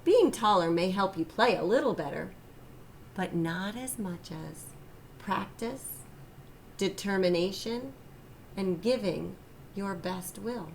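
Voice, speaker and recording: A caring, earnest storybook dad, voiced by a woman reader, gently teaching and encouraging a young child. The recording carries some noise. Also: mid-range voice, animated, slow pace, vocal fry, pauses often.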